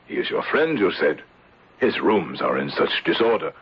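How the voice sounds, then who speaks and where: An actor playing a grave, protective insurance investigator in an old-time radio drama, husky, measured and formal. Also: male voice, sits low, big pitch swings, full character voice. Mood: probing. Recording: dull old radio broadcast.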